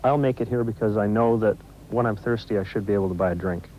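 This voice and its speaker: A man's voice: on the low side, quick pace, dull audio, slight background noise. A confident young man in his twenties, an entrepreneur, answering an interview. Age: 20s.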